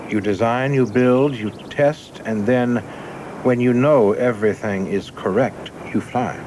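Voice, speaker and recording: A man's voice: medium pitch, a little slow, background noise, natural voice. An actor playing an ambitious, rigid young male government official in a radio play, speaking formally, precisely and earnestly.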